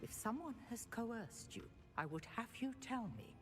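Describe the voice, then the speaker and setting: A woman's voice: fairly high pitch, very animated, moderate pace, faint music behind. A woman playing a stern, scolding witch on a school's staff in a video game. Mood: coaxing, disappointed. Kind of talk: scripted video game cutscene acting.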